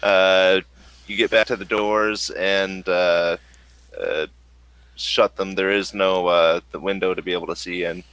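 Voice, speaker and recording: Deep-voiced man narrating a tabletop game. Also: flat delivery, a bit bright, clear, resonant tone, no echo, rough recording. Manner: halting, searching for words. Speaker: game master.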